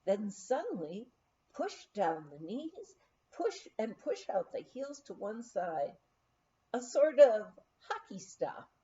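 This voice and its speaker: A woman reads a memoir aloud in an expressive, measured storytelling manner, on a somewhat dull recording. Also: a little high. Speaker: audiobook reader.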